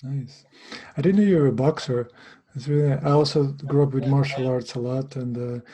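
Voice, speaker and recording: A man's voice: mid-range voice, moderate pace, warm tone. A man hosting a podcast interview, chatting in a friendly way and often restarting his sentences. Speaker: podcast host. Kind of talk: casual interview conversation.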